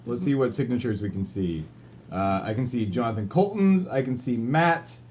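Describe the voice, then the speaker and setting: A man's voice: mid-range voice, lively intonation, normal speed, a little mellow. Guy hosting a livestream, offhand and kinda wry, just chatting, room noise in the back. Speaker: livestream host. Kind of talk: casual ad-libbed livestream chat. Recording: clearly noisy room ambience.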